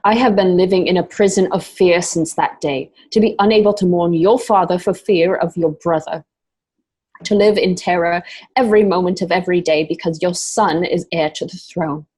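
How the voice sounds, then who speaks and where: A woman playing an anguished, proud Roman noblewoman in an amateur radio drama, with a husky voice. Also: on the low side, fairly even intonation, normal speed, darkish tone.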